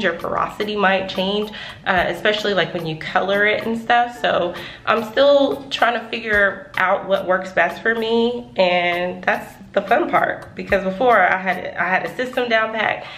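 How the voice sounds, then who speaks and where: A woman's voice: medium pitch, fairly bright tone. A woman, chatting casually to her viewers in a home vlog and calling them y'all. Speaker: vlogger. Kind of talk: casual vlog chat to camera. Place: home rooms and a parked car.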